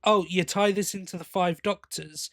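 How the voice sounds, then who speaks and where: High-pitched man chatting on a podcast. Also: fairly even intonation, normal speed, a bit bright, no echo, clean studio sound. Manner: enthusiastic, appreciative. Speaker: podcast co-host. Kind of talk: casual fan discussion.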